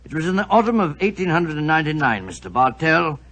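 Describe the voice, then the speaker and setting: Elderly radio-play doctor, bright and husky, avuncular storyteller. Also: male voice, a little high, very animated, quick pace, heavily acted. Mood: genial. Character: genial elderly male doctor narrating. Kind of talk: 1940s radio acting.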